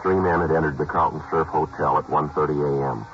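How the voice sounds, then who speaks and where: An actor playing a terse police detective sergeant who narrates his own case in flat, matter-of-fact sentences, from a 1950s radio drama. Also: extremely low pitch, brisk. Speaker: lead character and narrator. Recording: dull, noisy old radio broadcast.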